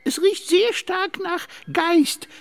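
Very high, creaky little ghost. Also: female voice, fairly even intonation, slow pace, no echo, exaggerated voice. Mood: chatty, matter-of-fact. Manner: rambling, chatty. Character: chatty, lovable male helper ghost. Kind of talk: children's radio play acting.

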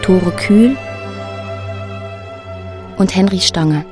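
A woman announcing for a radio drama, reading scripted credits in a measured, matter-of-fact way. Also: medium pitch, darkish tone. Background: sad violin music.

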